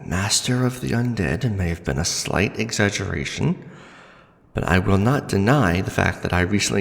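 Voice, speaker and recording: A man acting as a nervous young necromancer in a fantasy audio roleplay, speaking formally and pleadingly with halting politeness. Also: low voice, vocal fry. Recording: long hall reverb, faint music. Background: faint background music.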